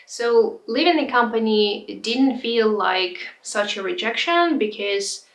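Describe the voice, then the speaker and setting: A woman's voice: a little high, expressive, slow pace. A woman in her twenties telling her own story on a vlog, frank and reflective, at times hesitant. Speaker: vlogger. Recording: roomy echo, distant from mic.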